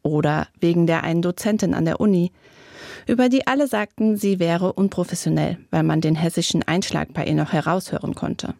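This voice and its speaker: Creaky-voiced woman reading a radio essay. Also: fairly low pitch, mellow, no echo. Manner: measured, reflective, lightly ironic. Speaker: author reading a radio commentary. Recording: dry studio recording.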